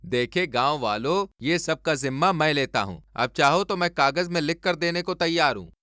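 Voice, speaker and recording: A man's voice: fairly high pitch, lively intonation, moderate pace, sharp tone, dry recording, clean studio sound. A man voicing an earnest, idealistic young engineer, sincere and polite, in a moral story cartoon. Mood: persuasive.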